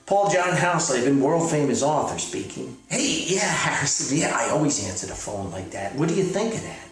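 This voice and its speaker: Echoey, animated man rambling in folksy monologue. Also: on the high side, normal speed, recorded from a distance. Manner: folksy, mock self-important, hesitant and digressive. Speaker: author hosting his own comedic podcast. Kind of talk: rambling casual comic monologue with phone bit. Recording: echoey room, off mic, music.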